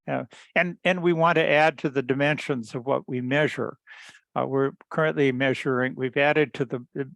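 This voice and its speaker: A man giving an unscripted technical explanation on a group call, halting, repeating words and often clearing his throat. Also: mid-range voice, no echo. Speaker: engineer presenting research at a teleconference.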